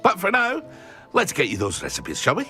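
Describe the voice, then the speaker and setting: Chipper potion shopkeeper, very lively pitch, game cutscene. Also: male voice, moderate pace, soft background music, exaggerated voice. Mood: enthusiastic. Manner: eager, talkative, fond of his craft. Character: cheerful chatty male potion shopkeeper.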